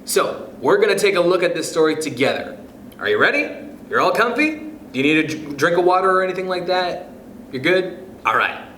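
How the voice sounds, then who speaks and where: A young man reading a picture book aloud to children, gentle and animated, with soft chuckles and friendly asides. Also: high voice, fairly quick, roomy sound, slight background noise. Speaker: youth club storyteller.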